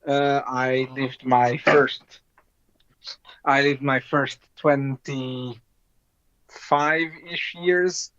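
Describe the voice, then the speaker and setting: A man's voice: medium pitch, fairly even intonation, drawn-out words, pauses often, a bit bright, no room sound. A man in his thirties from Hungary with a Hungarian accent, chatting casually as an interview guest. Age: 30s. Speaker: guest, board game designer. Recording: dull, lo-fi online call audio.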